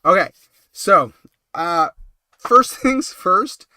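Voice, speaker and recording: A man with a cold, talking in a bright, high voice. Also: lively intonation, extremely slow, no room sound, clean studio sound. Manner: rambling, chatty, full of ums and little laughs. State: has a cold. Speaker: live stream host. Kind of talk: casual late-night live stream chat.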